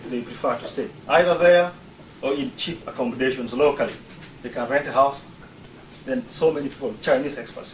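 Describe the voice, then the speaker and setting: A man's voice: medium pitch, noisy background. A man from Uganda giving a prepared talk, explaining his points one by one in order. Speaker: speaker. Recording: roomy, distant mic, faint ambience.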